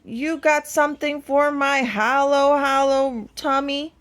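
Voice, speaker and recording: A woman's voice: sits high, fairly even intonation, takes it slowly, a bit bright, pitched above the performer's own voice. A woman voicing a hungry, bossy male troll in a storybook, calling out his demands. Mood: wheedling, hungry. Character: hungry, bossy male tumpton troll, grumpy egg thief.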